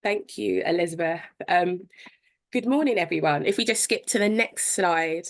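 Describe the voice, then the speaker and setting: Bunged-up woman with a gravelly edge. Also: lowish voice, normal speed. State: congested from a cold. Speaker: guest speaker. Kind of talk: webinar presentation.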